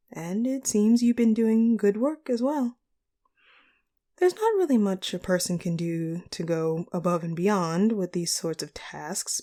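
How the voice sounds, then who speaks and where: Woman playing a mellow, courteous supervillain sorceress boss. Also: mid-range voice. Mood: pleased, approving. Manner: courteous, measured, formal managerial phrasing. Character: polite, charismatic female supervillain sorceress boss, caring and composed. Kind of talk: scripted audio roleplay, speaking to the listener.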